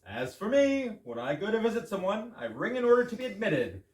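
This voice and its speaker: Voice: medium pitch, very animated, fairly quick, a little mellow, lightly acted. An amateur actor playing an insistent, reproving husband in a staged comedy reading, with emphatic corrections. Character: insistent, reproving married man, doggedly logical. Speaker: amateur readers theater actor. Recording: echoey room, far mic, background noise.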